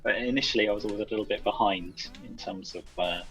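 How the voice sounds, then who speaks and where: A man's voice: lowish voice, fast talker, fairly bright tone. A man chatting casually as an interview guest, a game developer who talks in a hesitant, self-effacing, rambling way. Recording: distant mic with music behind. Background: music.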